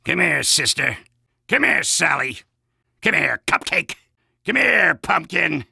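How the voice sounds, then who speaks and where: Gruff game soldier, drill-sergeant bluster, husky shouted commands, slow and deliberate. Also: male voice, on the high side, big pitch swings, no room sound, clean studio sound, exaggerated voice. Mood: barking orders. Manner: barks short commands and insults. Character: loud brash male army soldier, drill-sergeant bluster. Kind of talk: game voice lines.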